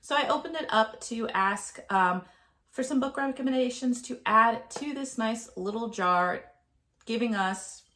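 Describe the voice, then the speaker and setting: A woman in her thirties talking casually to camera about her month's reading, a bit nasal and gravelly from a cold, with plenty of ums and uhs. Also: mid-range voice, sharp tone. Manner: chatty, with frequent ums and uhs. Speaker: book vlogger.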